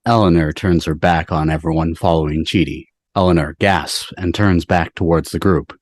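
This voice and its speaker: Deep-voiced man reading stage directions. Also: fairly even intonation, moderate pace, no echo, clean studio sound. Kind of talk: scripted narration, screenplay stage directions. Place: video call.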